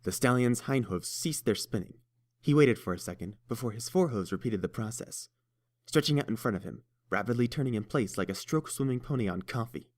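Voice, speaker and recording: A man narrating a fan-fiction storybook in a steady, measured way. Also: medium pitch. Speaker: audiobook reader.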